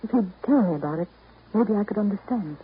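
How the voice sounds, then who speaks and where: A woman's voice: medium pitch, animated, quick pace, natural voice. An affectionate, forthright sweetheart, played by a woman in a 1930s radio play. She talks intimately, coaxing and teasing her man, heard through a tinny, faintly noisy old recording. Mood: teasing, curious. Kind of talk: 1930s radio drama acting.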